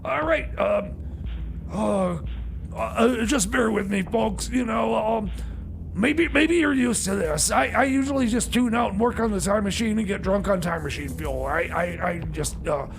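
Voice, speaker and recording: A high, creaky male voice put on by a man hosts community radio over faint noise. He is a flustered, grumpy bumbler who stammers, trails off and rambles slowly between songs. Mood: flustered, bewildered. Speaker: radio show host and DJ. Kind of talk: comedic community radio DJ chatter.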